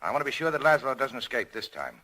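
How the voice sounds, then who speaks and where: A man's voice: mid-range voice, sing-song, fast talker, bright, forceful tone. A male actor playing a cynical but self-sacrificing American nightclub owner in a 1940s radio drama, with a clipped, tough, terse delivery. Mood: brisk, guarded.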